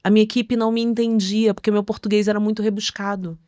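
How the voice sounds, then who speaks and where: Brazilian woman, middle-aged, mid-range voice. Articulate, expressive podcast guest in conversation, recorded dry and close. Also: mid-range voice, quick pace, dry recording. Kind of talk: conversational interview storytelling.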